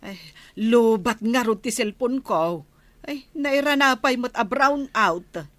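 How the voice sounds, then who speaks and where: A woman's voice: fairly high pitch, slow, fairly bright tone, no room sound. A woman with an Ilocano accent playing a bossy, scolding villager in a radio comedy drama. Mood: impatient. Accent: Ilocano, northern Philippines.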